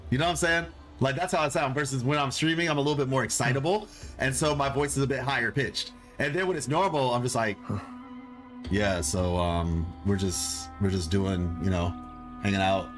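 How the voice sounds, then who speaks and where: A man's voice: mid-range voice, moderate pace, fairly bright tone, soft background music. A man chatting casually on a game stream, rambling with lots of fillers in a laid-back way. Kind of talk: casual live-stream chat.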